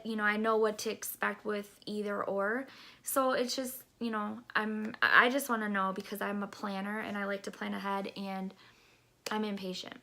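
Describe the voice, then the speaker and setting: A woman's voice: a little high, sharp tone. A woman in her thirties with a stuffy, congested nose, chatting casually in a rambling pregnancy update vlog, recorded on a camera mic in a small bedroom. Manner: chatty, rambling, many fillers and restarts. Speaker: vlogger.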